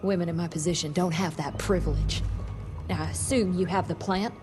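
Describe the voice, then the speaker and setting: A woman's voice: on the low side, steady tone, brisk, over music, natural voice. Woman voicing a cool, formal lady doctor. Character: poised, proud female scientist. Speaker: doctor running a lab.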